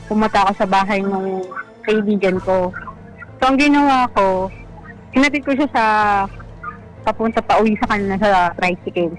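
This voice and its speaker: A caller with a Filipino accent tells a personal story casually and haltingly, quoting back conversations. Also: medium pitch, faint music behind, low-quality recording. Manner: halting, retells conversations as quoted dialogue. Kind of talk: casual spoken storytelling to radio hosts.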